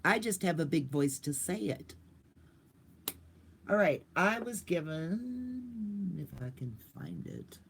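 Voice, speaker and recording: Older woman vlogger, chatty and goofy, pitch bouncing all over, casual livestream talk. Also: very animated, slow pace, vocal fry, long pauses, slight background noise.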